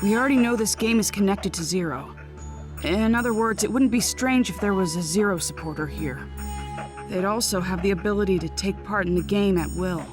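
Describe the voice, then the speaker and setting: A woman's voice: mid-range voice, brisk, natural voice. A woman voicing an earnest, determined young ninja boy in an anime game dub, serious and urgent. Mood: grave. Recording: background music.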